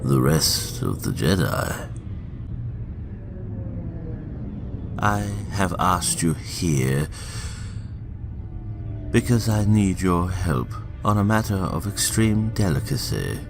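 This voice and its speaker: A man playing an elderly, manipulative statesman in a fan audio drama, grave and deliberate, with a gravelly, insinuating delivery. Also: deep voice, sing-song, over music, heavily acted. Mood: grave, solemn.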